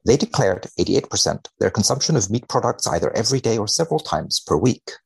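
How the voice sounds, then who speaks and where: Deadpan guy on a podcast, gravelly and husky, dry humour, reading science stuff out. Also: on the low side, fast. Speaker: podcast guest, biomedical researcher. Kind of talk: wry conversation and dramatic reading of a research paper.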